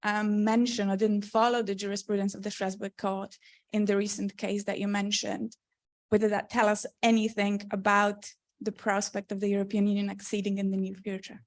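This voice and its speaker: A woman's voice: medium pitch, little pitch movement. A woman in a conference audience politely asking a panel a formal question. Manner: polite, measured. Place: conference hall.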